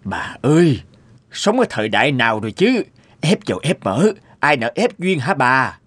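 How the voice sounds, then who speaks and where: A man voicing a reasonable, persuasive family man in a cartoon dispute, with a husky voice. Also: medium pitch, sing-song, normal speed, fairly bright tone, no echo.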